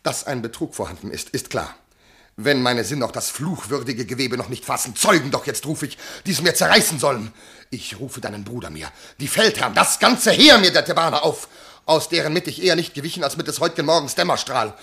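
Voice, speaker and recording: Ein Schauspieler spielt in einem klassischen Vershörspiel einen stolzen, gekränkten Feldherrn, streng und deklamierend. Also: male voice, mid-range voice, animated, fairly quick, gravelly, pushed and bright. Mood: wounded, vengeful. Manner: declamatory, stern, imperious. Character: proud, wronged male army commander demanding honour. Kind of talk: classical German verse drama radio acting.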